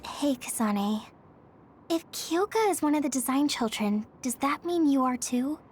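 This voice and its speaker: An actress playing a tender-hearted, girlish young woman in an English game dub, speaking gently and hesitantly. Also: high-pitched, expressive, unhurried, soft background music. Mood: tentative, curious. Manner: hesitant, sympathetic.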